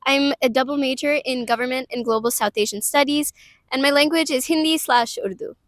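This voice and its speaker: A woman's voice: high voice, a little fast, fairly bright tone, no room sound, studio quality. A young woman in her twenties from Ohio, a college student whose first language is Tamil, chatting casually with frequent "like" and "um" fillers. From Cleveland, Ohio, US. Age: 20s. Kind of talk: casual interview monologue.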